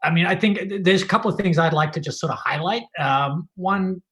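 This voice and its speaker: A man's voice: high-pitched, fairly quick, a bit bright. An Australian-accented man giving informal public comment at a meeting.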